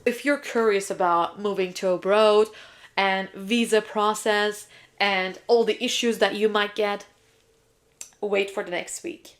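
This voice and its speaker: A woman's voice: medium pitch, fairly even intonation, measured pace. A young woman from Turkey, a native Turkish speaker, talking casually and emphatically to viewers as an English teacher hosting a vlog. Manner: chatty, emphatic, self-described yapper. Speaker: English teacher and video host. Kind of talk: casual vlog chat, answering viewer questions.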